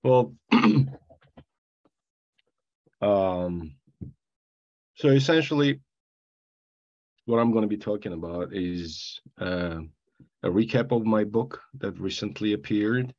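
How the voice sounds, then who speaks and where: A middle-aged man from Armenia giving a panel talk, halting with many fillers and restarts, with dry asides. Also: lowish voice, a little mellow, lo-fi sound. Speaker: panel guest speaker.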